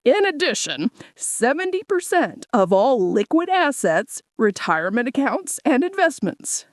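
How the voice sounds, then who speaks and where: Measured formal male lawyer voiced by a woman. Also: sits high, very animated, dry recording, clean studio sound, voice pushed up. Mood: formal, emphatic. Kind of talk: audio storybook dialogue.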